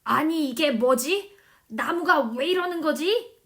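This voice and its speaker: A greedy, blustering man from a children's storybook acted out by a woman. The voice is high and loud, full of exclamations. He throws himself into every line with big, dramatic emphasis. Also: animated, slow, exaggerated voice. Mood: panicked, shouting. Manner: loud, exclamatory, wailing pleas. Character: greedy, blustering man who panics and begs. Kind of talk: children's storybook character acting.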